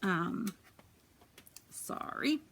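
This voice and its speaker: A woman in her thirties with a quiet, soft voice, chatting casually as she gives a tarot reading. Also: mid-range voice, expressive, extremely slow, creaky, a little hiss or hum. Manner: chatty, lots of ums and likes. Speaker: tarot reader.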